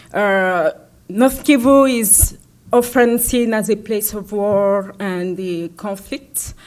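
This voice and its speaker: A young woman from the Democratic Republic of Congo giving a public talk about her own story, pausing often with fillers and restarts. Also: mid-range voice. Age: young adult. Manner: halting, many fillers and restarts. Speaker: invited speaker.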